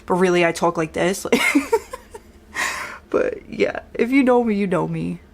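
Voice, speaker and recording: Young woman, strong New York accent, gravelly low voice, blunt chatty makeup vlogger. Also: unhurried. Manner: chatty, blunt, says like a lot. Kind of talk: casual makeup tutorial chat.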